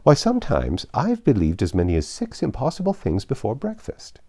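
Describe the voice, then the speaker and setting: A man reading aloud, voicing a flustered old queen with a high, fluttering, excitable delivery. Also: moderate pace. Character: flustered, fussy old queen, woman over a hundred.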